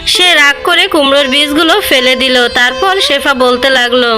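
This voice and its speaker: A woman's voice: high-pitched, normal speed, music in the background. A woman narrating a scripted children's folk tale as the storyteller.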